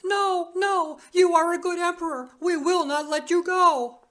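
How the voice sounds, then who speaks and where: A woman voicing a shrieking crowd of island people, crying out in shrill pleading. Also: fairly even intonation, measured pace, a bit bright. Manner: shrill pleading, exultant cries.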